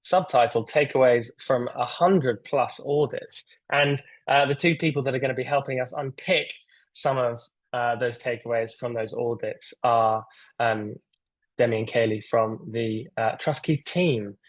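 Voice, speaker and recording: A man's voice: mid-range voice, fairly even intonation, a little slow, no echo, low-quality recording. Man, webinar host, rambling and enthusiastic, many ums, dry close sound, video call. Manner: rambling, filler-heavy, enthusiastic. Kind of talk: casual webinar hosting.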